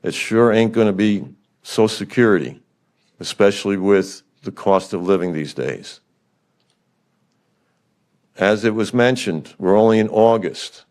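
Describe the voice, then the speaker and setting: A man's voice: lowish voice, measured pace, long pauses, a little mellow. An older man giving public comment at a county meeting, blunt and frustrated, firing rhetorical questions.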